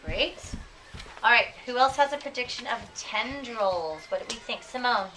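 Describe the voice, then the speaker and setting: A woman speaks as an elementary teacher with a bright, fairly high voice. She asks questions and echoes answers slowly and deliberately, with encouraging endearments. The recording is picked up from a distance. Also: expressive. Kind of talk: classroom instruction, questioning pupils and repeating their answers. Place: classroom with children. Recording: distant mic, clearly audible room noise.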